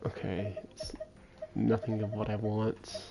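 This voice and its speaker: A man's voice: fairly low pitch, little pitch movement, unhurried, creaky. A man casually chatting and muttering to himself as he livestreams a game. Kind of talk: casual gameplay chat.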